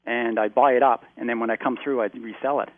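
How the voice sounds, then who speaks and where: Fast-talking man on a tinny phone line. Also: mid-range voice, no room sound. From Canada. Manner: rambling, relaxed storytelling with frequent fillers. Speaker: guest, long-term traveller. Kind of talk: casual phone interview.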